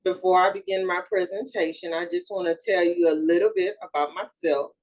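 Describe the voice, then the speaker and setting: A woman in her thirties from Houston, a grief and trauma therapist, giving an earnest, measured presentation with small fillers and self-corrections. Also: fairly low pitch, fairly even intonation, poor audio. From Houston, Texas. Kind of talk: recorded educational presentation.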